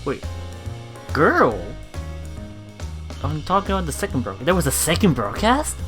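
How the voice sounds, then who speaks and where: A woman's voice: low-pitched, very animated, unhurried, a bit bright. A woman giving a casual, giggly commentary while reading visual novel lines aloud, often reacting and repeating words. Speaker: let's play streamer. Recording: music behind the voice. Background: visual novel game music.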